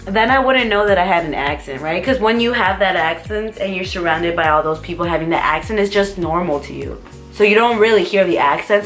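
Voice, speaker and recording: A young woman from the US talks through a casual vlog, rambling and giggling between questions. Her voice sits at an everyday middle pitch, with light music faintly playing underneath. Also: a bit bright. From United States. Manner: chatty, rambling, with fillers and giggles. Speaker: vlogger. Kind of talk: casual tag video chat.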